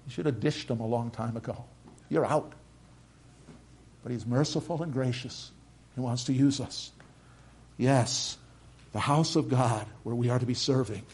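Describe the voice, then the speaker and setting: An older man with a slight Norwegian accent, preaching a sermon. He builds to loud, emphatic peaks and then drops to quiet, measured lines. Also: medium pitch. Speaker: pastor.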